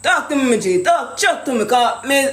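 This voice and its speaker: A man's voice: extremely high pitch, very animated, normal speed, fairly bright tone, roomy sound. A man with a Jamaican accent making a prank phone call, talking pushy and accusing in thick patois. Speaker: prank caller.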